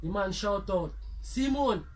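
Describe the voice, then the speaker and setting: A man's voice: sits high, measured pace. A man with a Jamaican accent hosting and telling comic stories in Patois-tinged speech. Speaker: storyteller host. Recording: faint background noise.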